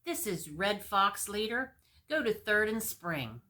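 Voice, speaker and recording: A squawking fairy squad leader, put on by a woman, barks clipped orders as if over a walkie-talkie. The storybook voice is bright, forceful and brisk. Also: medium pitch, lively intonation, voice pushed up. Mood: brisk, official. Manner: squawking, clipped radio talk.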